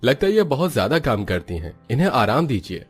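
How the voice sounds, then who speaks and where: A matter-of-fact doctor from a cartoon tale, played by a man. He gives measured advice in a mid-pitched voice, with soft music behind him. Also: big pitch swings, breathy edge. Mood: earnest advice. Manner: measured, advisory.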